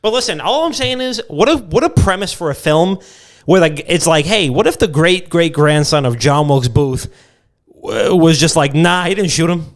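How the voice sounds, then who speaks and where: A man's voice: fairly high pitch, animated, normal speed. A man co-hosting a podcast, riffing in loose, profane, irreverent comedic banter. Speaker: podcast co-host.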